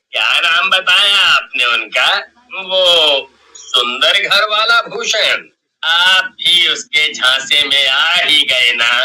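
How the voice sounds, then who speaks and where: A man acts out a polite stranger who brings grave warnings in a horror tale. He speaks slowly and measuredly, in a clear-edged bright voice. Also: on the high side, pitched below the performer's own voice, exaggerated voice, rough recording. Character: helpful male passerby, grave and polite. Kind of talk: animated horror story dialogue.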